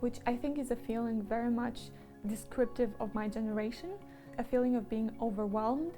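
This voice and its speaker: A woman's voice: a little high, moderate pace. A woman from Moldova, a painter, speaking in a thoughtful, introspective interview monologue. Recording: faint music behind.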